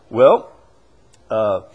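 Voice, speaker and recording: A man teaching a Bible study as a preacher, emphatic, moving between loud declaiming and quieter asides. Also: on the low side, breathy edge, dull audio. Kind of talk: teaching with questions from the congregation.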